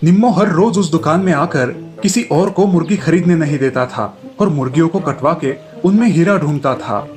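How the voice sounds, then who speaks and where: A man's voice: fairly high pitch, normal speed, hardly any pauses, a little mellow. A man narrating a scripted comic story for children as a storyteller. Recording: music behind the voice.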